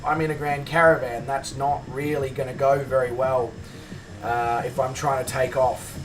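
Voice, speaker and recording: Aussie gamer guy, voice still croaky from losing it, a bit far from the mic, music going underneath. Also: mid-range voice, level tone, moderate pace. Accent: Australian. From Australia. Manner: chatty, greets viewers, thinks aloud with many fillers. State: hoarse, recovering from a lost voice. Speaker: game streamer. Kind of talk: casual live-stream commentary while flying a simulator.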